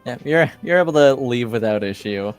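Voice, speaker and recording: Smooth, clear-voiced male game master. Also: medium pitch, expressive, moderate pace. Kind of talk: casual game narration. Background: faint music.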